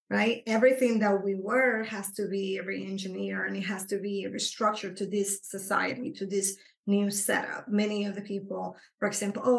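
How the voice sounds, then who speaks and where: Gravelly woman in an echoey room. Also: medium pitch. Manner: earnest, explanatory, animated when stressing points. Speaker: psychotherapist guest. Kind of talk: conversational interview.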